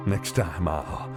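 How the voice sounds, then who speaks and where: Cocky thief, wildly swooping, creaky voice. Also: male voice, deep voice, full character voice. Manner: glib, theatrical, sarcastic. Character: cocky, slippery male master thief, flippant under threat. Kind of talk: audio drama acting.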